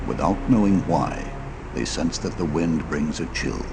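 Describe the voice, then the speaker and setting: A solemn storyteller narrates a fantasy game legend in a very low register. The delivery is portentous and dramatic, with a gravelly edge, over loud music. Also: male voice, expressive, measured pace, exaggerated voice.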